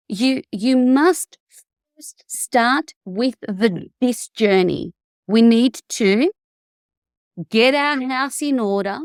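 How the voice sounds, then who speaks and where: Slow, halting woman host. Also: mid-range voice, no room sound, studio quality. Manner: halting, with self-corrections. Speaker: webinar host. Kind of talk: webinar introduction.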